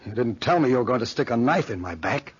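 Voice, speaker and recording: An actor playing a bitter, hot-tempered jilted young man in a 1940s radio drama, rough and husky. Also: mid-range voice, expressive, fast talker, slight background noise, natural voice. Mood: bitter, angry. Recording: muffled, band-limited 1940s radio recording.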